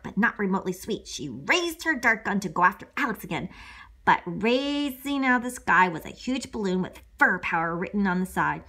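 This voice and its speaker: A woman co-reading a children's storybook, with excitable, playful asides and repeated phrases. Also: medium pitch, breathy edge. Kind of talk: animated read-along storytelling with playful asides.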